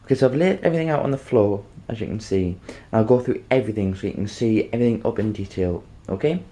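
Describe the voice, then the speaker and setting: A man presenting an informal how-to demonstration, halting and rambling, often checking in with the listener. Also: fairly low pitch, animated, dark tone. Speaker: video tutorial presenter.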